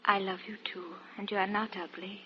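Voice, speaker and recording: An actress playing a gentle, devoted young woman with soft, earnest delivery in a 1940s radio drama. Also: medium pitch, level tone, a little fast, close to an everyday voice. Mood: earnest, tender. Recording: very noisy, muffled 1940s radio.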